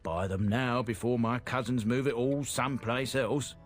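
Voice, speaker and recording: A man's voice: medium pitch, steady tone, moderate pace, natural voice. An actor playing a friendly, earnest merchant who is proud of his family, in a fantasy video game, with music behind him. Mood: welcoming, proud.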